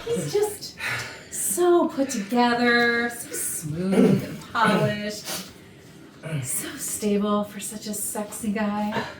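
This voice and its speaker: A woman's voice: medium pitch, expressive, slow pace. A woman playing a gossipy, catty, flirtatious office worker who confides suggestively to the audience in a comedic stage play. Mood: smitten, flirty. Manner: chatty, suggestive, conspiratorial. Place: indoor rehearsal room. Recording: roomy rehearsal, distant mic, noisy background.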